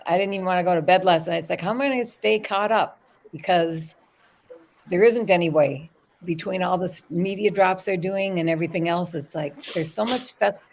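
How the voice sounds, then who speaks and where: A woman guest on a casual video call, talking excitedly in tumbling sentences. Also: lowish voice.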